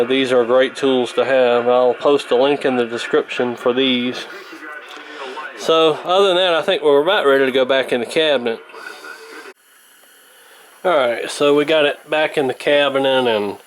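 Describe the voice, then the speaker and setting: A man with an average-pitched voice talks through a repair demonstration off the cuff. He explains things in a folksy, meandering, slightly hesitant way. There is clear ambient noise behind his voice. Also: low-quality recording. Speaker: host of a vintage radio repair channel. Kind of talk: casual unscripted repair demonstration.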